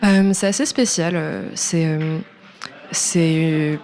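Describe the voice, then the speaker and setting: A woman's voice: low-pitched, fairly even intonation, takes it slowly, a little mellow. A woman in her early twenties, a native English speaker, chatting casually in a radio interview, hesitant and informal, often trailing off. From born in New York, French-American. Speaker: musician, singer guest.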